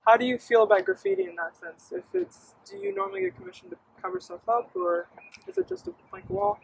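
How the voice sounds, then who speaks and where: A man's voice: extremely high pitch, very animated, fast talker, creaky, low-quality recording. A man interviewing a guest, asking questions and giving short affirming replies. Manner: brief, affirming back-channel replies. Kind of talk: casual interview.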